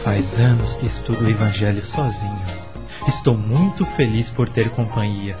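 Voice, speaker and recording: An actor with a Brazilian accent playing a kind, devout middle-aged husband, tender and measured, in a radio soap opera. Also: male voice, on the low side, lightly acted. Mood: warm, calm. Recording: music under the voice.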